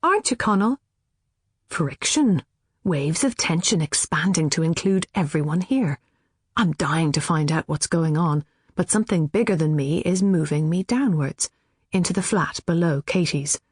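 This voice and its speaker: An Irish woman reading scripted fiction as an audiobook narrator, with an expressive storytelling delivery. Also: low-pitched, moderate pace, slightly rough, no echo, clean studio sound.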